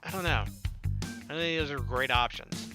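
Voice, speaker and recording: A man's voice: medium pitch, moderate pace, over music. A man hosting a visual novel playthrough, reading text aloud and adding casual, joking asides. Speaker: gaming video host.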